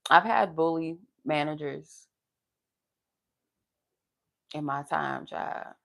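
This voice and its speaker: Young adult woman, low pleasant voice, frank and profane, casual storyteller, close mic. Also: takes it slowly, vocal fry, a bit bright. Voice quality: soothing, pleasant voice. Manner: laughs while talking. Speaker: live tarot reader. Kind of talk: casual live-stream chat.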